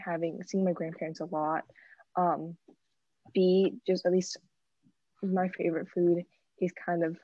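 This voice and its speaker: A woman's voice: fairly low pitch, mellow, husky, no echo, dull audio. A young woman talking casually in a group discussion among students.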